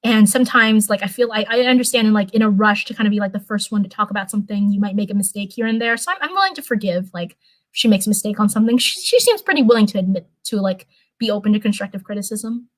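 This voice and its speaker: A young woman chatting casually on a livestream, rambling with lots of fillers and restarts, her voice getting hoarse. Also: mid-range voice, animated, quick pace, no room sound. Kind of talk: casual livestream chat, answering viewers.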